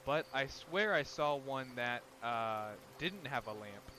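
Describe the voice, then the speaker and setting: A man's voice: medium pitch, expressive, slow, pushed and bright, slight background noise. A young man with a cold, sniffling as he talks casually over a game he plays, swearing often and reading bits of it aloud. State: sick and sniffly. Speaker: gaming youtuber. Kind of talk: casual let's-play commentary.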